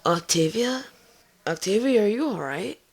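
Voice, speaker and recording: A woman's voice: mid-range voice, expressive, a little slow, creaky, breathy edge, heavily acted. A woman voicing a young female pony, blunt and protective, speaking earnestly and emphatically in a fan fiction drama. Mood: concerned.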